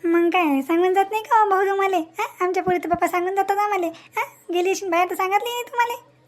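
A suspicious village busybody in a cartoon comedy a woman acting. She speaks in a very high voice with a rural Maharashtrian accent. Her manner is prying, sharp-tongued and always spoiling for an argument. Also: quick pace. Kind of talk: Marathi comedy cartoon dialogue.

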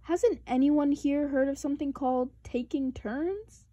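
A woman's voice: high-pitched, measured pace, dark tone, dry recording. A woman reading the part of a grown papa bear in a storybook, an authoritative father with an emphatic, questioning manner. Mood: demanding.

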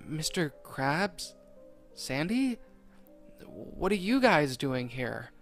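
A man's voice: a little high, big pitch swings, very slow, a bit bright, faint music behind, full character voice. A man voicing an anxious, upset young male sea sponge who frets in worked-up outbursts. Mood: surprised.

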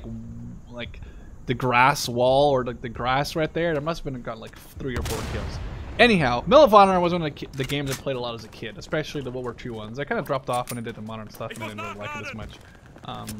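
A man's voice: a little high, slight background noise. Rambling man gaming over gunfire. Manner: rambling, easily sidetracked. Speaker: gaming YouTuber. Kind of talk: casual gameplay commentary. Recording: loud gunfire and artillery behind the voice. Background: shooter game gunfire, explosions.

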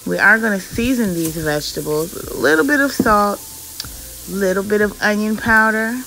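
A woman giving an unhurried, step-by-step cooking narration in a home kitchen, in a homely, instructional way. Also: mid-range voice, creaky, pushed and bright, poor audio. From Jamaican parents. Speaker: cooking vlogger. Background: background music.

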